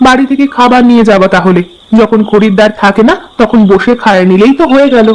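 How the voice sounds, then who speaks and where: A woman's voice: on the high side, quick pace, darkish tone, natural voice, rough recording. A woman voicing a bossy, caring village housewife in a cartoon. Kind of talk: cartoon voice acting.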